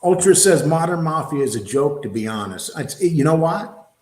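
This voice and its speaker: A man's voice: a little high, expressive, normal speed, darkish tone, echoey room. A man hosting a livestream talk show, blunt and rambling, throwing out rhetorical questions and reading viewer comments. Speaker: show host.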